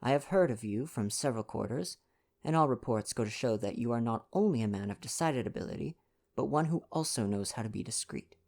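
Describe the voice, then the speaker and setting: Wealthy letter writer read by a man: anxious, formal, measured urgency, storybook narration. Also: medium pitch, expressive, darkish tone, close to an everyday voice. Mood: urgent.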